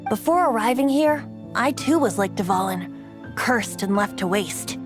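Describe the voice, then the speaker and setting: A woman's voice: on the high side, lively intonation, unhurried. A woman voicing a playful, secretive young male bard in a video game cutscene, talking in a lilting, teasing way. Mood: solemn. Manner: lilting, teasing, then soft and solemn. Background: game soundtrack music.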